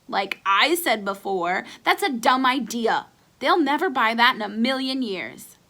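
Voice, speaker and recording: Lady reading as fed up kid hero in a storybook, arguing back, highish sharp voice. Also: expressive, measured pace, exaggerated voice. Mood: dismissive. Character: exasperated, skeptical boy, the story's young hero. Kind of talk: children's storybook read-aloud character.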